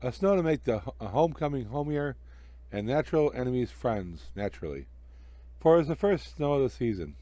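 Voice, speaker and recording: A man narrating a children's story as an expressive storyteller. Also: mid-range voice, fairly quick, no room sound.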